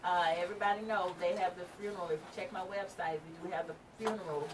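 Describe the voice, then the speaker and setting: A middle-aged woman hosts her talk radio show in a chatty way, laughing often and slipping into preachy asides. Her voice sits a bit low. She sounds far from the mic, with clear room noise behind her. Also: steady tone, fast. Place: small studio room with a table of mics.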